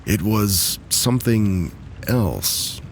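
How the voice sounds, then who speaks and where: A man with a very low voice reads eerie first-person stories in a scripted, measured way, easing his pace whenever the suspense builds. A faint hiss sits under the recording. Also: expressive. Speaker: narrator of submitted stories. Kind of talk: scripted narration of true spooky stories.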